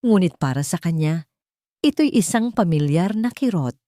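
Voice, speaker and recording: Low-pitched woman narrating a dramatic love story. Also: expressive, normal speed, warm tone, no room sound, clean studio sound.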